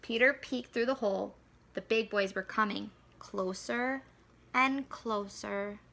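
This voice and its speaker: A woman reading a children's picture book aloud as an expressive, animated storyteller. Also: mid-range voice, takes it slowly, gravelly. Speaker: school staff reader.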